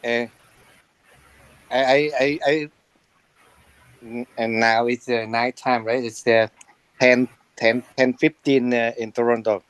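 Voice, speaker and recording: A man with a Vietnamese accent speaking as a class participant on a video call, halting with many fillers. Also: medium pitch, steady tone, clear, resonant tone, no echo.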